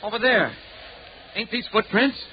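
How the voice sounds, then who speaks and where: A man's voice: high-pitched, expressive, measured pace. An actor playing a nervous, reluctant townsman with folksy, rustic phrasing in an old-time radio drama. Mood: excited discovery. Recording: muffled old radio recording, faint noise.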